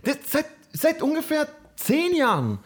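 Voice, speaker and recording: Excitable man ranting in casual chat. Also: very high voice, unhurried. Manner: excitable, rants with lots of slang fillers. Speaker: podcast co-host.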